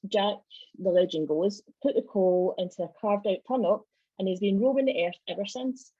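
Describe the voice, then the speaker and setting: Scottish woman, slightly low husky voice, wry storytelling, dry close sound, slightly dull video-call audio. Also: moderate pace, mellow, dry recording. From Scotland. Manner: wry, joking asides. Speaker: club member giving a presentation. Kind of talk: informal presentation of folk traditions and legends.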